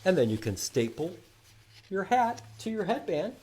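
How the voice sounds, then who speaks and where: A man's voice: high voice, very animated, normal speed, warm tone, slightly rough. A man hosting a library storytime, reading aloud and explaining things to young children with lots of simple questions. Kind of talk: children's read-aloud and sing-along.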